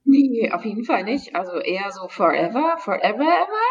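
A woman's voice: fairly high pitch, sing-song, fairly quick, slightly rough, highs cut off. A young woman, a voice actress, talking enthusiastically as an interview guest. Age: young adult. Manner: enthusiastic, upbeat. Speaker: radio play voice actress, guest. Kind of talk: casual interview chat.

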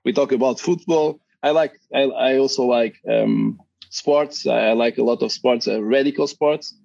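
A man's voice: medium pitch, normal speed, creaky, a little mellow, no echo, lo-fi sound. A man with a dry throat, a board game designer chatting casually as a podcast guest, hesitant and often restarting his sentences.

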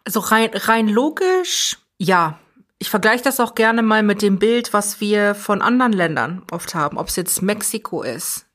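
A woman's voice: medium pitch, expressive, normal speed, fairly bright tone. A young woman who grew up in Germany, speaking as an interview guest in a thoughtful, earnest way, often restarting phrases as she thinks. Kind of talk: reflective conversational interview.

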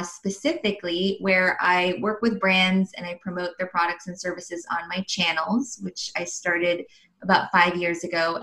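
A woman's voice: fairly low pitch, pushed and bright, poor audio. A woman in her thirties, raised in Los Angeles, chatting easily in an interview with frequent ums and little laughs. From Philippines, raised in Los Angeles. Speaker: guest, online entrepreneur. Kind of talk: casual interview answers.

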